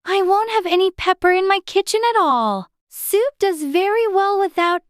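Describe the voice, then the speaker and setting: A woman's voice: very high-pitched, animated, normal speed, no echo, studio quality, pitched above the performer's own voice. A woman voicing a polite, curious little girl in a dramatized storybook audiobook, with an earnest, childlike manner. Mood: musing, pleased.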